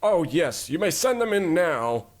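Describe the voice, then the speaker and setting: Guy doing a smug big boss, highish voice all over the place, hammy old radio comedy. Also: big pitch swings, a little slow, background noise. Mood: breezy, delighted. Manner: theatrical, glib, exclaiming. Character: pompous, breezily callous male tycoon boss.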